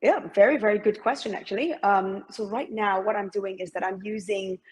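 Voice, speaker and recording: A woman's voice: mid-range voice, brisk, rough recording. A middle-aged woman from Malaysia, a masters swimmer talking as an interview guest, hesitant with many fillers and restarts. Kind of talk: interview conversation.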